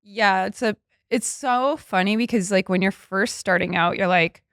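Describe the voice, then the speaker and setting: A woman's voice: mid-range voice, moderate pace, a bit bright, clean tone, no room sound, studio quality. A middle-aged woman, a stand-up comedian and teacher hosting a podcast, chatting casually with many fillers and frequent laughs.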